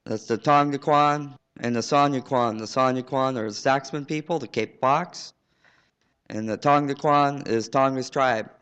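A man from southeast Alaska giving earnest, halting testimony for his tribe at a public hearing. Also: medium pitch, fairly bright tone, clean tone. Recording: dull lo-fi hearing recording.

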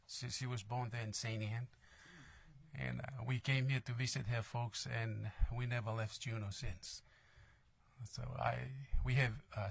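A man in his sixties with a Tongan accent, introducing himself formally at a hearing in a measured, halting, plain-spoken way. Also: medium pitch, vocal fry, no echo. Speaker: appointee to a parole board.

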